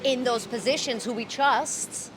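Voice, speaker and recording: Candid woman with dark humor on a street. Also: fairly high pitch, unhurried, background noise. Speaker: interview guest, voice actor and comedian. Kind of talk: casual street interview. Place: city street outdoors.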